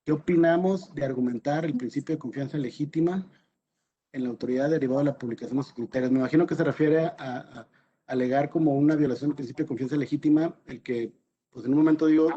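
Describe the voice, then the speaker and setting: A Mexican man speaks in a soft, mellow tone as a panelist taking audience questions. He repeats words as he thinks and often tags his sentences with a short question. The recording is slightly dull and lo-fi. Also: mid-range voice, fast, slightly rough. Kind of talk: informal legal panel discussion.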